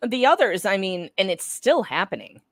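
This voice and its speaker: A woman's voice: medium pitch, sing-song, moderate pace, no echo. Woman reading courtroom dialogue, dry close sound. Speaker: panelist reading court transcript parts.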